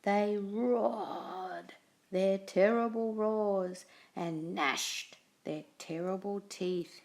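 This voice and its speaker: A woman slowly reading a children's picture book aloud with an expressive, storytelling delivery. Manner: slow, expressive reading with lively pitch.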